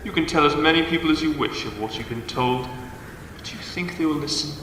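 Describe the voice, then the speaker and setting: A man playing an ancient, menacing demon who patiently tempts with bargains, theatrical and drawn-out in an audio drama, with cavernous reverb on his voice. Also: on the high side. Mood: coaxing. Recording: echoey cavernous reverb, distant, faint hiss.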